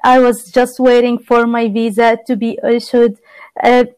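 Young Palestinian-accented woman, clear and fairly high. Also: level tone, measured pace, darkish tone, no echo, rough recording. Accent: Palestinian Arabic. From Gaza, Palestine. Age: young adult. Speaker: podcast guest, exchange student. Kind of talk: conversational interview answers.

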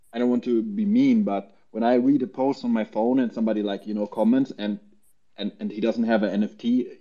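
Low-voiced man, halting, on dull audio. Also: mellow. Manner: halting, repeats words. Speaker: panel guest. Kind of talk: casual explanatory talk.

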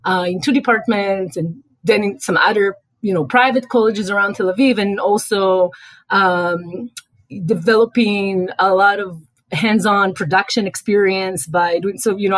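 A woman's voice: mid-range voice, moderate pace, dry recording, poor audio. A middle-aged woman with an Israeli accent, a guest coach talking casually in an interview, thinking aloud with many fillers and restarts. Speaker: guest, writing and life coach.

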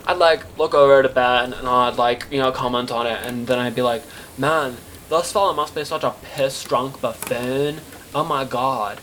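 A man playing a disgruntled, defensive night-shift kitchen worker in a halting, rambling conversational monologue for an audio drama. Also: medium pitch, a bit bright, a little hiss or hum, natural voice. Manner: halting, rambling, self-interrupting, filler-heavy.

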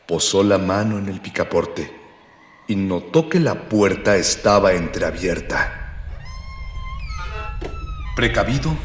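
Guy doing a pompous radio serial narrator, lowish voice, big echo, florid and solemn. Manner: pompous, archaic, florid phrasing. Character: florid, solemn storyteller narrating a pulp space adventure. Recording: echoey reverb with soft music.

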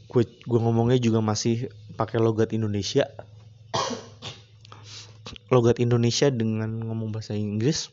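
A man hosting a casual solo podcast, talking in a relaxed, rambling, slangy way with frequent hesitations. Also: on the low side, a little slow, pauses often, lo-fi sound. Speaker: podcast host.

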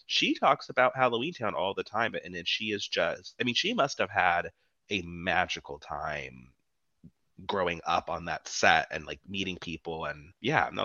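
A young man co-hosting a casual podcast chat, animated and sometimes jumping into a high voice. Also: no room sound. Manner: animated, jumps into a high voice at times. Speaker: podcast co-host. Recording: dry, dull lo-fi mic.

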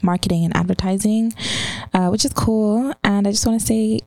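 A woman's voice: mid-range voice, fairly even intonation, moderate pace, gravelly, dark tone, no room sound. A twenty-two-year-old woman from the UK, a student guest on a podcast, talking in a playful, teasing, upbeat way. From United Kingdom. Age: 20s. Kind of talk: casual podcast chat.

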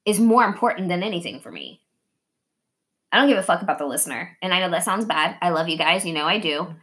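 A woman's voice: lowish voice, animated, quick pace, fairly bright tone. A woman hosting a podcast in casual, candid conversation, blunt and swearing freely, acting out imagined dialogue as she talks. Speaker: podcast host.

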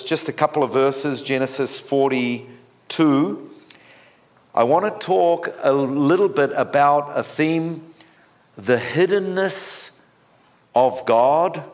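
Slow, halting New Zealand man preaching. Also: mid-range voice. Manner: halting, with many fillers and restarts. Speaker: guest speaker. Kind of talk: sermon.

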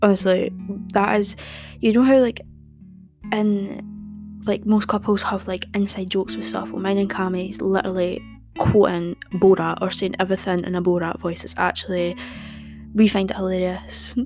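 A young woman hosting a podcast, chatting casually in a soft, calming voice and rambling with lots of fillers. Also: medium pitch, normal speed, faint music behind. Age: young adult. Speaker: podcast host.

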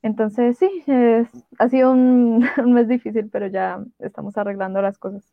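A woman's voice: fairly high pitch, fairly even intonation, normal speed, no room sound. A young adult woman chatting casually as a co-host on a podcast. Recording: dry, dull lo-fi audio.

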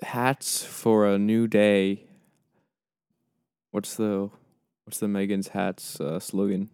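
A man co-hosting a casual podcast, soft-spoken and a bit mumbly, with a laid-back delivery. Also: on the low side, level tone, takes it slowly, smooth, no room sound, clean studio sound. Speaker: podcast co-host. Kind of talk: casual chat.

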